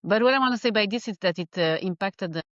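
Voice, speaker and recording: A woman from Geneva with a Swiss French accent, a life coach chatting in an interview and often pausing to find her words. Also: medium pitch, no room sound, lo-fi sound. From Geneva, Switzerland. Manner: animated, laughs often, searches for words. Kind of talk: casual interview chat.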